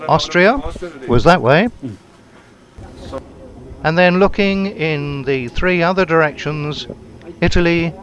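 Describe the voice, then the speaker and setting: A man reading a scripted travelogue voice-over in a measured, descriptive storytelling way. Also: fairly high pitch, fairly bright tone, highs cut off. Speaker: travelogue narrator. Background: background music.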